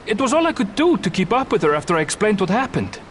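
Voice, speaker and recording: High-voiced, courteous young adventurer. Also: male voice, very animated, fast, a little hiss or hum. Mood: explaining. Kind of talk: video game voice acting.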